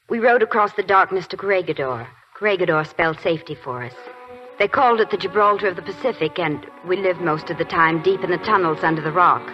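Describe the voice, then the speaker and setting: A woman's voice: lowish voice, lightly acted. A woman playing a weary army nurse recounting war in a 1940s radio drama. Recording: dull 1940s radio recording.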